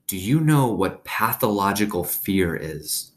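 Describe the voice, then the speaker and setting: A man voicing a mild, thoughtful preacher and father in a storybook, speaking in a measured, explanatory way. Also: low-pitched, big pitch swings. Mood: calm, reassuring.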